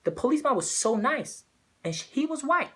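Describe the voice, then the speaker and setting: Young man from Ghana, earnest persuasive speaker, bright forceful tone, restarts mid-phrase. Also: very high-pitched, big pitch swings, fairly quick. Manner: earnest, repeats words while searching for phrasing. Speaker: student giving a speech. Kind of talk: persuasive speech.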